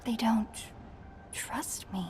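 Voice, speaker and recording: An actress voicing a vulnerable, self-doubting amnesiac leader in a video game, speaking in a hushed, hesitant way. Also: female voice, a little high, steady tone, slow pace, soft background music. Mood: hurt, hesitant. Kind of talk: dramatic video game dialogue.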